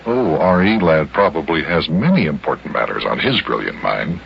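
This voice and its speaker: A man with an American accent playing a patient, dryly amused grown-up who gently scolds a boy in a children's radio serial. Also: rumbling low, sing-song, moderate pace, vocal fry, heavily acted. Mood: dry, wry. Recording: hissy, dull old radio recording.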